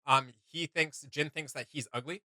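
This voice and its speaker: A man with a bright, fairly high voice gives casual reaction commentary as host. He is informal and joking, and the sound is dry and close. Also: fairly even intonation, normal speed, dry recording.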